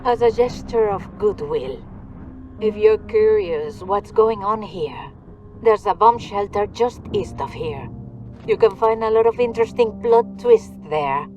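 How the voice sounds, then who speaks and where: A woman's voice: mid-range voice, lively intonation, a little slow, darkish tone, highs cut off, faint music behind, natural voice. A woman playing a taunting, condescending mercenary woman in a video game, with a teasing manner. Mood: coaxing, conspiratorial. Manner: teasing, condescending, peppers speech with endearments. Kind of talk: video game cutscene acting.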